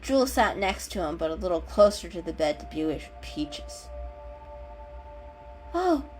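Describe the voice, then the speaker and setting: A woman's voice: fairly low pitch, animated, normal speed, a little mellow. A woman storyteller giving a dramatic scripted reading of a children's story. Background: background music.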